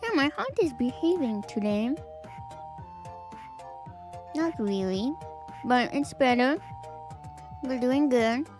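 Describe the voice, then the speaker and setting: Giggly high-voiced woman streamer. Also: lively intonation, slow pace, pauses often, music in the background. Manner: giggly, playful, teasing. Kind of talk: reading viewer fanfics aloud on a live stream.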